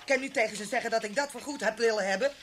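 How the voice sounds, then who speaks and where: A woman's voice: fairly high pitch, very fast, a bit bright, natural voice. An actress playing an agitated, indignant, chatty landlady at her wits' end in an old Dutch radio drama. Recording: noisy old radio recording with clicks.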